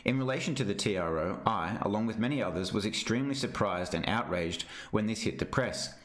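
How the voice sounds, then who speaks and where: A man reading a legal document aloud in a formal, measured narrating manner. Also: lowish voice, lively intonation, fairly bright tone. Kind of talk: formal read-aloud narration of a witness statement. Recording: roomy, echoing sound.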